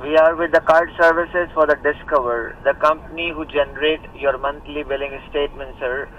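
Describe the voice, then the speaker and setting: A man's voice: a little high, steady tone, brisk, distant mic, a little hiss or hum. Formal man on a muffled phone line. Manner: smooth, formal scripted delivery. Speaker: scam caller. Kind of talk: scripted phone pitch. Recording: muffled phone audio over car speaker.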